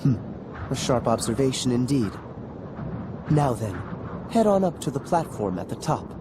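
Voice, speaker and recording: An actor playing an aloof, ancient overseer of a trial in a video game, speaking in a stately, archaic, measured way. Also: male voice, mid-range voice, very animated, noisy background, lightly acted. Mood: aloof, testing. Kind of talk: dramatic video game voice acting. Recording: music behind the voice.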